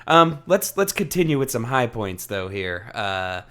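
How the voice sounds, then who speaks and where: A man's voice: mid-range voice, very animated, measured pace, bright, forceful tone. A man co-hosting a casual book discussion, speaking informally with slang and steering the talk along. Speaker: podcast co-host.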